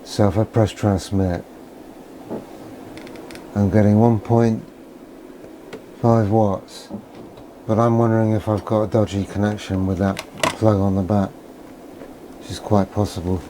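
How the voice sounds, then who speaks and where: Man, dark soft-edged tone, casual hobbyist demo, thinking aloud, understated, light background noise. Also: fairly low pitch, level tone, unhurried, pauses often. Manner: musing, understated, asks himself questions. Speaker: electronics hobbyist presenter.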